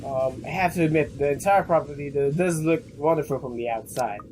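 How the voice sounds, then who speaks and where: A young man doing a let's play, haltingly reading game text aloud with casual commentary. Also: on the high side, noisy background. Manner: halting, stumbles while reading.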